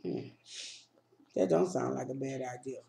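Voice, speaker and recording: A woman's voice: very deep voice, a little slow, vocal fry, mellow, echoey room. A woman hosting a casual storytime vlog, talking in a laid-back, plainspoken way. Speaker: vlog host. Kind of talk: casual storytime chat while eating.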